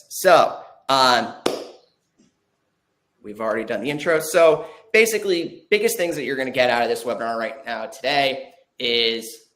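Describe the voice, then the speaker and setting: A man's voice: mid-range voice, fairly bright tone. Casual male webinar host. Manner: casual, filler-heavy. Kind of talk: hosting a webinar. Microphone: video call.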